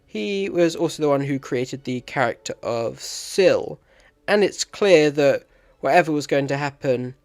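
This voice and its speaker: A man's voice: fairly high pitch, animated, a little slow. A man giving a scripted review as commentary. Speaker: video reviewer.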